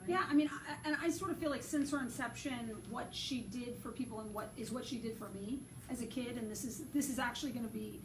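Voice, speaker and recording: A woman's voice: on the high side, a little fast, hardly any pauses. A woman film director answers interview questions with earnest, effusive enthusiasm, stacking up words as she speaks. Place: large public building. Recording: echoey and distant, with noise.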